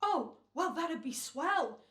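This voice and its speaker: Impatient slangy show boss for radio play, woman performing, very high voice, brusque, roomy sound. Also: animated, slow pace, fairly bright tone, heavily acted. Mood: exasperated. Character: bossy, impatient wisecracking male radio director. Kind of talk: old-time American radio acting.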